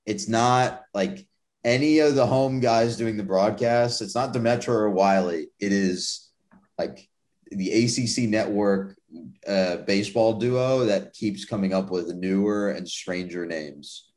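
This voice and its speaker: Low-voiced young man, wry sports chat. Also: measured pace, a little mellow. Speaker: podcast co-host. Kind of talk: casual sports podcast chat.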